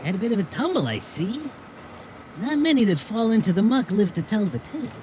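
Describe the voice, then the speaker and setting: An actor playing a wry, slangy goblin bartender in a noir audio drama, familiar and streetwise in manner. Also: male voice, sits high, sing-song, brisk, a little mellow, slight background noise. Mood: wry amusement. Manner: slangy, familiar, calling people kid. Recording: music playing behind the voice. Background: music.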